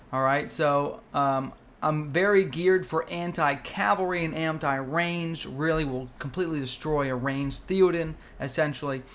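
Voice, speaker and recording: A man with a slightly high voice hosts a gaming video. He breaks the game down in analytical commentary, punching it up like an announcer and speaking straight to his viewers. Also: a bit bright. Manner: announcer-like hype, addresses viewers as folks.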